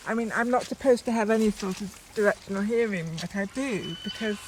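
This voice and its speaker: A woman speaking reflectively and haltingly, with pauses, as in a poetic audio documentary. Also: medium pitch, a little hiss or hum, rough recording. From northern England. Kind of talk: reflective, unscripted documentary talk with poetic passages.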